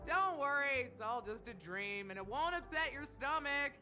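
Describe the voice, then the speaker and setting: An alluring, teasing game hostess with a widely swooping delivery. She coaxes theatrically, with music clearly audible behind her. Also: high-pitched, lively intonation, moderate pace. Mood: playful, coaxing. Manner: theatrical, coaxing. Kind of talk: video game voice acting.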